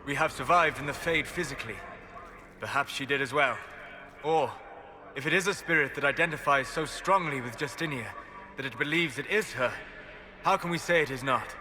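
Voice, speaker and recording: A man's voice: mid-range voice, brisk, close to an everyday voice. A man playing a reflective, philosophical companion in a fantasy video game, reasoning things through. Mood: thoughtful. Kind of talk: dramatic video game voice acting.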